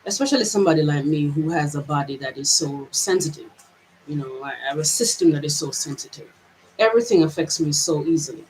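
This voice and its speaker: A woman's voice: low voice, lively intonation, fast, a little mellow, a little hiss or hum, poor audio. A woman from Jamaica with a mixed Jamaican and American accent, giving a reflective personal vlog monologue in a hesitant, searching way with many restarts. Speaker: vlogger.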